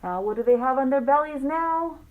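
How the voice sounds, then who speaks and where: A woman's voice: sits high, lively intonation, a little fast, phone-quality sound. A woman chatting to young children about a story, asking simple leading questions. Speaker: teacher commenting on story. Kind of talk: casual commentary to young children. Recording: clearly noisy background.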